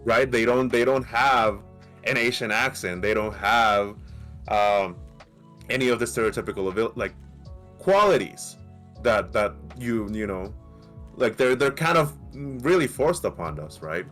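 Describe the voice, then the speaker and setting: A man with a light Mexican accent who co-hosts a podcast. He argues in a casual, animated way, often restarting and asking "right?". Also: medium pitch, measured pace, soft background music. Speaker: podcast co-host. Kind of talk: casual debate.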